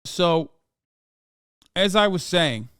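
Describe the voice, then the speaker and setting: A man's voice: high voice, lively intonation, drawn-out words, fairly bright tone, clean tone, no echo. A man in his thirties whose first language is Turkish, streaming a blunt, profane, exasperated reaction rant into a close mic. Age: 30s. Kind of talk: casual profane reaction commentary.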